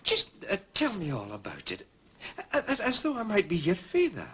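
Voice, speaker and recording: An actor playing a fatherly Scottish policeman in a period drama, courteous, deliberate and evasive in his official phrasing. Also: sits high, huge pitch swings, a bit bright, husky, full character voice. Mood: soothing. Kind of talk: British television period drama acting.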